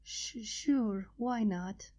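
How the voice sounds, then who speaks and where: A woman voicing a shy young dragon girl who talks hesitantly and softly, a touch higher than her own narrating voice. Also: drawn-out words. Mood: timid. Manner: hesitant and soft-spoken.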